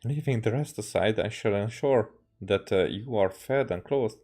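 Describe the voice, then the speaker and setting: A male game streamer reads story dialogue aloud. His voice is soft-edged and fairly low, and he moves haltingly through the text, often correcting himself. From Hungary. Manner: halting reading with frequent self-corrections. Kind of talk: reading game dialogue aloud with live commentary.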